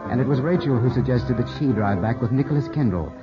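An actor playing a proud, suspicious young country gentleman in a 1950s radio drama, speaking in a clipped, formal, brooding way. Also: mid-range voice, animated, fast talker, natural voice. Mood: brooding narration. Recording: muffled 1950s radio recording, loud music.

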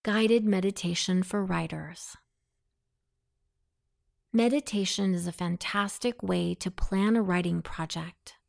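A woman leads a guided meditation at an extremely slow, deliberate pace. Her voice sits a little low and has a clear creak to it. She gives soothing instructions. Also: darkish tone.

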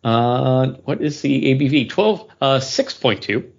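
A man's voice: mid-range voice, expressive, unhurried, vocal fry, highs cut off. An American man chatting casually as a podcast host.

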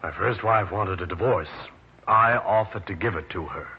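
An actor playing a meek, troubled husband in an old-time radio drama, speaking in a subdued, earnest way. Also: male voice, low-pitched, normal speed, close to an everyday voice. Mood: troubled, confessing. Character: meek, troubled married man being blackmailed. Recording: muffled, faintly noisy old radio recording.

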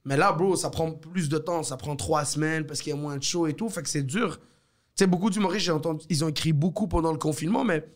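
A man's voice: fairly high pitch, quick pace. A man from Morocco, native Arabic speaker, with a Moroccan French accent, chatting as a comedian guest in a laid-back, upbeat way. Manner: laid-back, upbeat, peppers speech with "bro" and "tu comprends". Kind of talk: casual chat.

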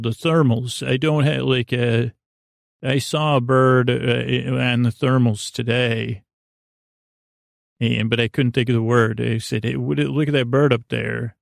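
A man hosting a podcast with a soothing, creaky voice, rambling in a halting, silly, whimsical way as if for bedtime. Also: mid-range voice, no room sound, studio quality. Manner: meandering, halting, silly and goofy. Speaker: podcast host. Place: podcast studio.

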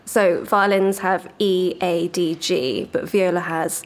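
A woman violinist in a casual interview who explains things in a measured, thoughtful way. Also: on the low side, steady tone.

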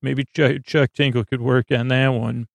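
A man hosting a bedtime podcast in a rambling monologue, halting and often losing his thread, silly and reassuring. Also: medium pitch, little pitch movement, gravelly, no room sound. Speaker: podcast host.

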